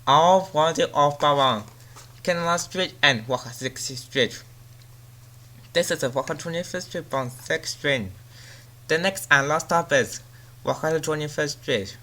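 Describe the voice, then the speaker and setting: A man's voice: a little high, unhurried, sharp tone. A man reading subway service announcements and lists of stops as a train announcer.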